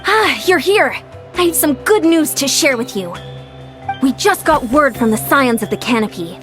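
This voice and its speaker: A woman's voice: sits high, expressive, moderate pace, faint music behind. A woman voicing an upbeat, composed young female warrior strategist in a video game, polite, firm and businesslike. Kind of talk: video game character acting.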